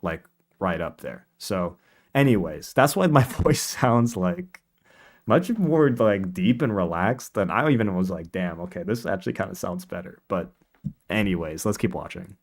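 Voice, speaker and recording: A man live streaming in casual, informal chat, repeating himself excitably and swearing now and then. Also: lowish voice, unhurried. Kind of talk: casual livestream chat, commenting on own video. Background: quiet lo-fi music.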